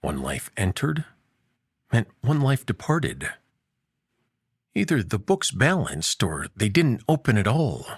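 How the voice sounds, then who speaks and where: A man hosting a story show and narrating a science fiction tale, expressive, dropping to hushed low lines and lifting for emphasis. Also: slow pace, pauses often, breathy edge, no room sound, clean studio sound. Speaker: host and narrator.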